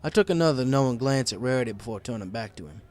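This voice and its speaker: Animated man narrating a story. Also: mid-range voice, fast. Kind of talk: first-person storybook narration with character dialogue.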